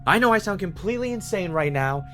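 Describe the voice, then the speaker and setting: An agitated man in a podcast drama, pleading as if he is being manipulated. His pitch runs a little high and moves about a lot, with faint music behind. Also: lively intonation, fast talker, fairly bright tone. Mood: distraught. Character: distraught, agitated man who feels manipulated. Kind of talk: audio drama acting.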